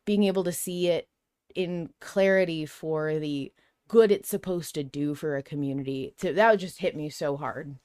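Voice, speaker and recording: A woman hosting a podcast, talking casually in an expressive, reflective way. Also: deep voice, moderate pace, no room sound, studio quality. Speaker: podcast host.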